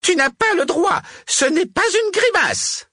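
A man's voice: high voice, wildly swooping pitch, measured pace, no room sound, studio quality, pitched above the performer's own voice, exaggerated voice. A man voicing a vain, scheming witch in a storybook, melodramatic and easily indignant. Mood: furious. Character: vain, shrieking witch with a huge pointed chin.

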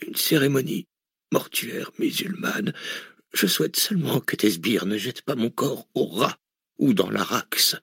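A man voicing a gravely wounded old billionaire who speaks in a weak, breathy whisper, slow and mocking. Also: medium pitch, animated, fairly bright tone, no echo, studio quality, heavily acted. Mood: solemn. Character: dying old male billionaire, weak, sly, sarcastic.

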